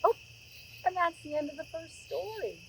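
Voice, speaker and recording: A middle-aged woman narrating a storybook aloud for young listeners, expressive, with chatty asides. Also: very high voice, normal speed, gravelly, a little hiss or hum, rough recording. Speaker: children's story reader. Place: outdoors near a road, woods.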